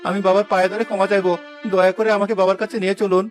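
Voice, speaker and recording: A man's voice: sits high, level tone, very fast, soft background music, lightly acted. A man playing a proud, hot-headed young village weaver in a dramatic moral-story cartoon. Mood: remorseful, pleading.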